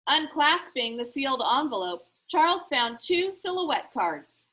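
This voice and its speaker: A woman reading a children's novel aloud in an expressive, animated storybook style. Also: high-pitched, measured pace, low-quality recording.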